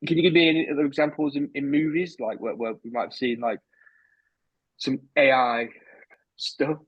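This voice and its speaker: A man hosting a casual podcast, asking halting questions with many restarts and laughs. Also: medium pitch, fairly even intonation, breathy edge, no room sound. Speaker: podcast host. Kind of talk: casual chat. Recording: dry, dull lo-fi sound.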